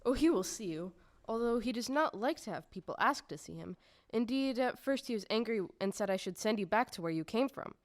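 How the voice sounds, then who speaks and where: A woman's voice: fairly high pitch, lively intonation, a little fast, dry recording, natural voice. A woman playing an officious little gatekeeper of a magical city in an audio play, formal, ceremonious and emphatic. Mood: confiding, formal. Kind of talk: stage-style audio drama acting.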